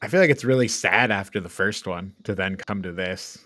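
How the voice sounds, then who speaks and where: A man's voice: low-pitched, fairly quick, pushed and bright, no echo. A man co-hosting a casual film review chat in an informal, exasperated manner. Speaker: podcast co-host reviewing films.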